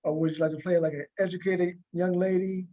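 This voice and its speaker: A male interviewer with a high-pitched voice chats casually on a video call. He hesitates and circles back over his own words as he puts questions. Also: flat delivery, a little fast, dark tone, dull audio. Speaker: interviewer, show host.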